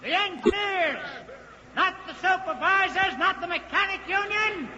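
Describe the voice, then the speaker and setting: An actor playing an angry rabble-rousing engineer in a declamatory 1950s radio drama style. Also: male voice, very high voice, unhurried. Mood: rousing, defiant. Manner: forceful, declamatory, defiant. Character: angry rabble-rousing engineer leading a rebellious union meeting. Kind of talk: 1950s radio acting, shouted speech to a crowd. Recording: muffled noisy old radio, crowd behind.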